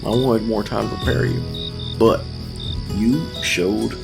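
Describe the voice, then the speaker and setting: An actor playing an older small-town policeman in an audio drama, folksy, deliberate and patronizing, with quiet menace. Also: on the low side, steady tone, vocal fry, over music. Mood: coldly menacing. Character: menacing old small-town cop, folksy, coldly patient killer. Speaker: police officer character.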